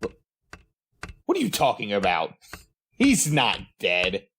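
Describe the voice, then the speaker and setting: An actor playing an excitable, power-hungry madman in a halting, melodramatic 1930s radio drama style. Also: on the low side, a little hiss or hum, exaggerated voice. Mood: bewildered. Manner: melodramatic, halting, breathless exclamations. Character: excitable, power-hungry madman narrating his eerie tale.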